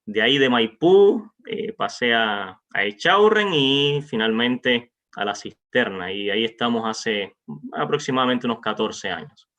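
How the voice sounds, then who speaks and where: A man in his forties speaking informally to a congregation as a visiting preacher, halting with frequent pauses. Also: medium pitch, lively intonation, a bit bright. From Cuba. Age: 40s. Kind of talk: informal testimony and greeting to a congregation. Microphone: remote online meeting microphone. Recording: dull, lo-fi online meeting audio.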